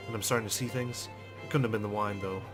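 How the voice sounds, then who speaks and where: A man reading visual novel text aloud as a let's player, in a measured, understated storytelling manner with brief asides. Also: on the low side, fairly even intonation. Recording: music behind the voice. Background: game music.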